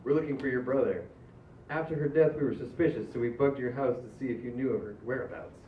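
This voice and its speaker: A man playing a brisk, businesslike bounty hunter in a comedy table read. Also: mid-range voice, natural voice. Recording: echoey, distant, muffled room with faint noise.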